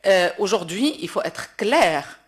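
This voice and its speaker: A woman's voice: mid-range voice, expressive, slow pace. Emphatic Moroccan woman speaking off the cuff. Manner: emphatic, repeats words while searching for phrasing. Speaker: classical opera singer speaking at a panel. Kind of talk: impromptu public speech at a debate.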